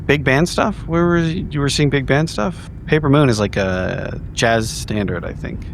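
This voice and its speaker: A man's voice: medium pitch, normal speed, creaky, a little hiss or hum. A man playing a tabletop roleplaying game, improvising in a conversational way with some swearing.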